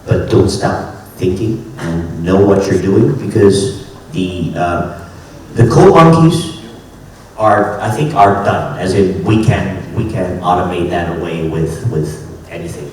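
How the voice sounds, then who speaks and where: A man on a conference panel, giving advice in a thoughtful, halting way. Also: low-pitched, a little mellow, a little hiss or hum. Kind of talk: panel discussion. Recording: very echoey hall, distant mic, faint ambience.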